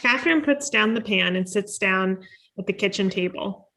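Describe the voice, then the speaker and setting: A woman's voice: mid-range voice, moderate pace, pushed and bright. A woman reading scripted screenplay directions as narrator. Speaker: stage directions reader.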